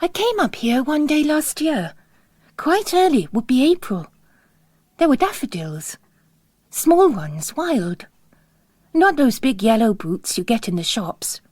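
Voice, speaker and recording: A woman's voice: high-pitched, big pitch swings, a little slow, pauses often, a little mellow, dry recording, studio quality, voice pushed up, full character voice. A woman voicing an impetuous, earnest young nun with an urgent, outspoken manner in a story reading. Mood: chatty, indignant.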